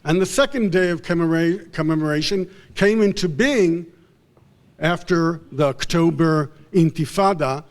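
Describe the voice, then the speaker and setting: A male professor giving an unscripted academic lecture in a halting way, often repeating and restarting his phrases. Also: a little high.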